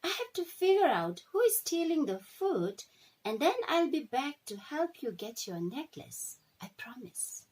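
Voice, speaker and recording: A woman reading a storybook aloud, playing a kind, gentle and earnest young heroine. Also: high-pitched, very animated, unhurried, poor audio. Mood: apologetic.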